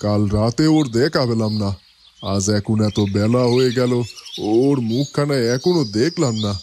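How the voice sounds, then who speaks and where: A man's voice: mid-range voice, normal speed, dark tone, a little hiss or hum, lightly acted. A man voicing a fretful, doting middle-aged village father in a cartoon, with hesitant interjections. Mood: worried. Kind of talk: Bengali cartoon dubbing.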